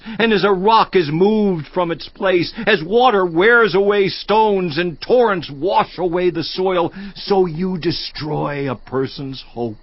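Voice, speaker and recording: Guy playing a grieving sufferer, slow and heavy, slightly high voice, dry dull recording. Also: dry recording. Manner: slow, grave, mournful recitation. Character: suffering man lamenting his brief life. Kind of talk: dramatized scripture reading.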